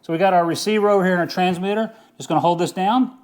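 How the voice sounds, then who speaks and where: A middle-aged man with a slightly high voice talks casually through a gear review. He sounds informal and enthusiastic, often repeating a word for emphasis. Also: fast, a little mellow. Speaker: host of an outdoor gear review channel. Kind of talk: casual product review vlog.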